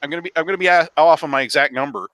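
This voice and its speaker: A man with a bright, crisp-edged, fairly high voice chats about films as a panelist. His delivery is halting, often restarting phrases. The sound is very dry, with no room echo. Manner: halting, repeats phrase openings. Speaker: podcast panelist. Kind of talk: casual film discussion.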